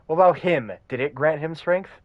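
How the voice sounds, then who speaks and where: A man's voice: a little high, a little fast, no echo, narrow, tinny audio, pitched above the performer's own voice, close to an everyday voice. A man reading out the lines of a determined young man who fights spirits, grim and urgent.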